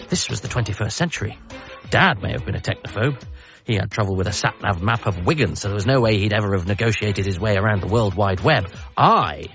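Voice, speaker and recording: A man's voice: on the low side, fairly bright tone, highs cut off. An actor playing a frantic, paranoid son who earnestly believes he must save the Earth, in a radio comedy drama. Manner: earnest, conspiratorial, self-deprecating. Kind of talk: radio comedy drama, first-person narration and dialogue.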